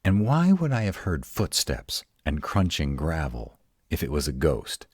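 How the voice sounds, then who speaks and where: A very deep male were-dragon, acted by a man, gruff, grumpy and protective. He speaks slowly and haltingly in a roleplay audio, exasperated and defiant, with lively swings in pitch. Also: dry recording, studio quality, full character voice. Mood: baffled, skeptical. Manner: gruff, exasperated, halting one-sided dialogue.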